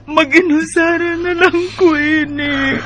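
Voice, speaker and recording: A woman's voice: high voice, fairly even intonation, slow, a bit bright. A woman with a Visayan Filipino accent playing an emotional, vulnerable young woman in a radio drama. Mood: grieving.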